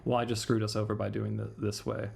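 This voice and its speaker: A man's voice: lowish voice, completely flat delivery, quick pace, gravelly, background noise. A man livestreaming a game, chatting casually and thinking aloud in slangy, mildly sweary language. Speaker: livestreamer playing a visual novel. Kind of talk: casual livestream chat while troubleshooting. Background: music.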